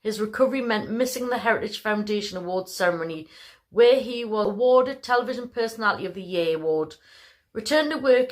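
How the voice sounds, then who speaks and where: A woman reading scripted biographical narration. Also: medium pitch.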